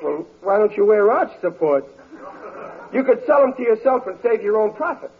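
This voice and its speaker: A man's voice: sits high, big pitch swings, normal speed. An actor playing a sarcastic, grumbling working-class father with folksy, ungrammatical talk in a 1940s radio comedy. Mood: exasperated, sarcastic. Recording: very muffled old radio broadcast.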